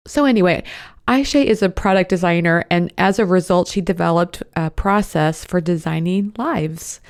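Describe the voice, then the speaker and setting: A woman's voice: fairly low pitch, creaky, darkish tone. A woman hosting a podcast, chatty and upbeat, with lots of casual asides. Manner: chatty, upbeat, many fillers like "you know". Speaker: podcast host. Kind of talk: podcast intro and interview.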